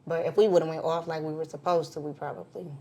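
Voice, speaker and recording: A woman's voice: deep voice, quick pace. A woman co-hosting a podcast, telling a casual story and acting out other people's words. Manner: animated storyteller, acts out quotes. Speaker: podcast co-host.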